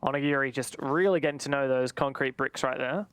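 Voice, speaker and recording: A man's voice: medium pitch, brisk, a bit bright, slight background noise. A man giving live play-by-play commentary on an esports match, with vivid, dramatic phrasing.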